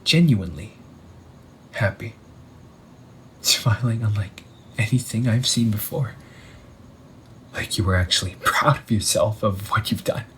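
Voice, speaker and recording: A vengeful older brother back from the dead , acted by a man in an audio roleplay, over faint ambient noise. He talks slowly and tauntingly, swinging widely in pitch, with a wounded, menacing affection and theatrical pauses. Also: a bit bright. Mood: hurt, bitter. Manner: theatrical, taunting, with drawn-out pauses and whispered words. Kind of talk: scripted audio roleplay monologue addressed to the listener.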